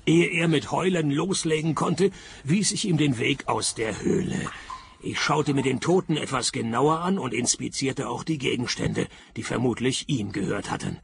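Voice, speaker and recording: A man playing a sardonic, gravelly detective. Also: mid-range voice, normal speed, slight background noise, lightly acted. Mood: wry narration. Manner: dry, sarcastic, deadpan. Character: grumpy, sardonic male private detective, world-weary city dweller. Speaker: lead character and narrator. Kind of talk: radio play dialogue and first-person narration.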